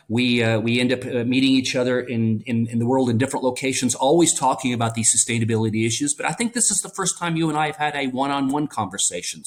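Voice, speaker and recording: A man hosting a business interview podcast, friendly and welcoming, talking in long run-on sentences. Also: mid-range voice. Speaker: podcast host. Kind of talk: conversational interview.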